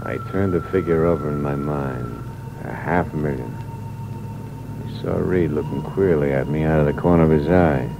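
Deep-voiced american noir tough guy, cynical and terse, old muffled radio drama with heavy noise. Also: normal speed. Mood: brooding. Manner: terse, wry, hard-boiled. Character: hard-boiled drifter narrating his crime, tempted and cynical. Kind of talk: 1940s radio noir acting, first-person narration.

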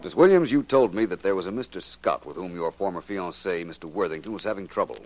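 A shrewd radio detective of the 1940s. His low voice swings expressively in pitch, while his manner stays polite, measured and sure of itself. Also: expressive, a little mellow, faint music behind. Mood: businesslike. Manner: measured, assured, formally polite. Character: shrewd, composed male detective, politely probing suspects.

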